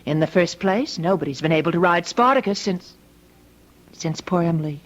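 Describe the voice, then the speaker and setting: An actress playing a breezy, chatty, teasing young sweetheart in an old-time radio mystery. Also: female voice, lowish voice, lively intonation, normal speed. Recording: dull old radio recording.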